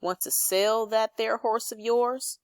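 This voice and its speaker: Storybook horse dealer played by a woman: terse, shrewd haggler, slow delivery, close dry recording. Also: fairly high pitch, steady tone, no room sound. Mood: curt. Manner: brief, bargaining. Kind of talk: children's storybook read aloud.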